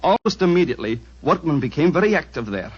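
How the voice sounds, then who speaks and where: An actor playing a frightened, self-important gentleman, excitable and melodramatic, in 1940s radio style. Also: fairly high pitch, quick pace, breathy edge. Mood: fearful. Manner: agitated, melodramatic, breathless outbursts. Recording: muffled 1940s radio recording.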